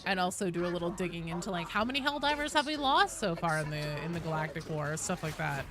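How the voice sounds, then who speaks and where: A woman hosting a game livestream, chatting casually with viewers in an animated, sweary, self-deprecating way. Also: on the low side, fast, a crowd in the background. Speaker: livestream host. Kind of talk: casual game-stream chat, answering viewer comments. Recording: clear background noise behind voice.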